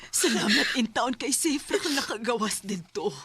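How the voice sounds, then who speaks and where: An actress playing a protective, worried mother in a Filipino radio drama, with a husky, raspy voice and a Cebuano accent. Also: fairly high pitch, lively intonation, normal speed, a bit bright, slight background noise. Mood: relieved, concerned.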